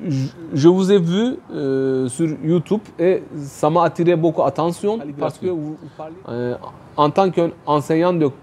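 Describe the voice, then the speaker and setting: A man from Turkey with a Turkish accent, answering interview questions hesitantly and with many pauses. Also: a little high, a little hiss or hum. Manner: slow, many pauses and fillers, soft laughs. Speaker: guest, writer and calligrapher.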